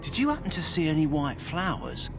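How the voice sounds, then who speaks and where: A man's voice: on the high side, big pitch swings, a little fast, over music. A man playing a polite, worried keeper of hounds in a video game, earnest in manner. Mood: concerned.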